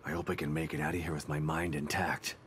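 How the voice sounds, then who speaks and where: A man playing a gruff, weary, determined ex-detective in a survival horror game, speaking tersely and sardonically. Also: a bass voice, little pitch movement, quick pace, noisy background. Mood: wary.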